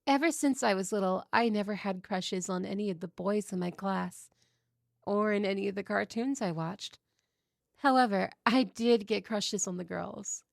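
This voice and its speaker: A woman's voice: medium pitch, moderate pace, creaky, no room sound, clean studio sound, natural voice. A woman playing an outgoing, cheerful young woman in a scripted audio roleplay, telling stories in a chatty, playful way. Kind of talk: scripted ASMR roleplay acting.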